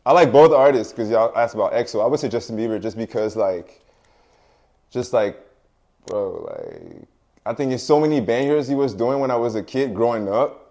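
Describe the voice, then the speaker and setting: A man's voice: mid-range voice, big pitch swings, normal speed, a little mellow. A young man whose first language is French, a music artist giving casual, laid-back interview answers with lots of fillers and frequent laughs.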